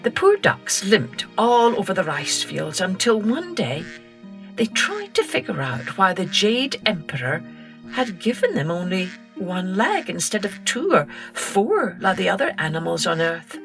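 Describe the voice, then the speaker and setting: A woman hosting a children's storytelling podcast and reading a story in a gentle, expressive way. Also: medium pitch, soft background music. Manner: expressive, gentle, slow and clear.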